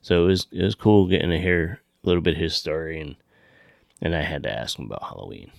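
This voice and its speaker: A man's voice: rumbling low, quick pace, gravelly, dry recording, studio quality. A man hosting a podcast, chatting casually and making show announcements. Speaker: podcast host.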